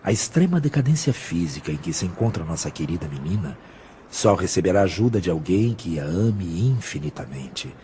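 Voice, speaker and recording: Audio drama role: wise, humble male spirit mentor, mellow and gentle, with noticeable background noise. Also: deep voice, very animated, moderate pace. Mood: gently instructive.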